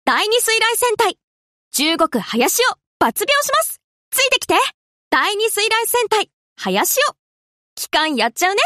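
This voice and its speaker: Anime game navy girl: high and slow-paced, casual slangy delivery, laid-back but dutiful. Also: sing-song, pushed and bright, no room sound, clean studio sound, exaggerated voice. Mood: determined. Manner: casual slangy girlish speech. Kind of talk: Japanese anime game character voice lines.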